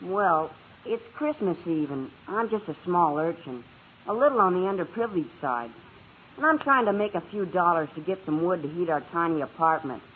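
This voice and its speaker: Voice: mid-range voice, animated, normal speed, natural voice. An actor voicing a cheeky young boy peddling Christmas trees with wheedling salesman patter in an old radio comedy. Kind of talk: 1940s-50s radio comedy acting. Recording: tinny noisy old radio, studio audience.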